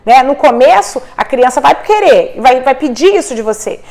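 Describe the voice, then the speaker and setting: A woman's voice: high-pitched, lively intonation, normal speed. A middle-aged immigrant mother and host, encouraging parents about homeschooling, mixing English words into her talk. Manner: warm, encouraging, mixes English words into Portuguese.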